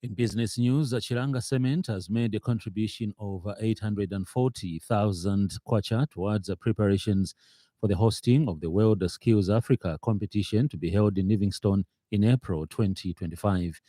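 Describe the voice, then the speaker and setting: A Zambian man reads the radio news in a low, very mellow voice. The delivery is a scripted bulletin, recorded close and dry in a studio. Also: moderate pace, no echo. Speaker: radio newsreader.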